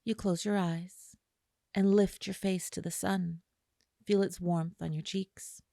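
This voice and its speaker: A woman guiding a listener through an imagery meditation in a slow, soothing way, with long pauses. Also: lowish voice, steady tone, creaky, mellow, no room sound, studio quality. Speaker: meditation guide. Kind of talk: guided imagery meditation narration.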